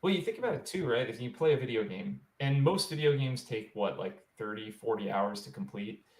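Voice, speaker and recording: A man's voice: medium pitch, animated, a little fast, darkish tone, a little hiss or hum. A man on a podcast panel, explaining his view in a conversational way. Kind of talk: casual discussion. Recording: echoey, roomy sound.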